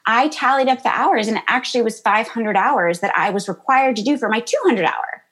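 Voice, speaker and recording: A woman yoga teacher with a high-pitched voice, answering interview questions in a bubbly, chatty way with lots of fillers and laughter. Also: fairly quick, fairly bright tone, breathy edge. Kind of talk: interview answers, casual chat.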